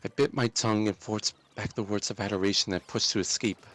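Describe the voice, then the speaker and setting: Low-voiced man narrating a dramatic story. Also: steady tone, brisk, fairly bright tone.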